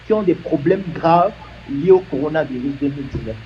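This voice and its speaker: A man's voice: a little high, animated, brisk, muffled recording, a little hiss or hum. A man making an earnest, emphatic argument in a discussion, building his points with examples.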